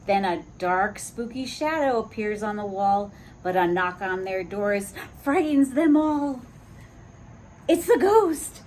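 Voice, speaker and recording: A woman reading a picture book aloud to preschoolers in an animated way, stopping to ask the children questions. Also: medium pitch, a little slow, few pauses, slight background noise. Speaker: children's storyteller. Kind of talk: read-along for preschoolers.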